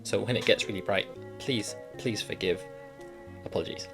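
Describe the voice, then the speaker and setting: A man's voice: lowish voice, moderate pace, over music. A young man who hosts an advice show, reading out a viewer email and then chatting casually about it, laughing easily and restarting his sentences often.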